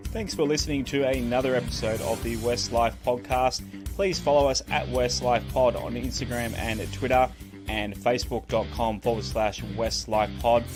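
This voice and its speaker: A man reading a scripted podcast outro in a steady, promotional way. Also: mid-range voice, normal speed. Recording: voice over music bed.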